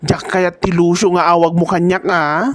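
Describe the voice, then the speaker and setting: A woman acting a hospitable but sharp-tongued neighbor in a comedy radio drama. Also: fairly low pitch, level tone, moderate pace, lightly acted. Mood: scolding.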